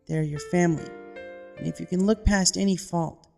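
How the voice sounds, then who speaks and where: Narrator doing an earnest young pegasus girl reading heartfelt letters, bit of gravel, music under it. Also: medium pitch, steady tone, normal speed, mellow, big-room sound, natural voice.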